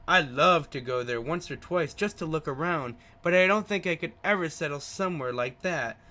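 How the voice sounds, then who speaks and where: Man reading as a chatty young taxi driver, bright-voiced. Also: on the high side, normal speed. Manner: effusive, chatty. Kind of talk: visual novel dialogue read aloud.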